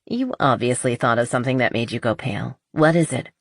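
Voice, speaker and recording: A woman voicing a blunt, honest, skeptical but loyal best friend in an audiobook, with a dry teasing manner and husky voice. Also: rumbling low, fairly quick, no room sound.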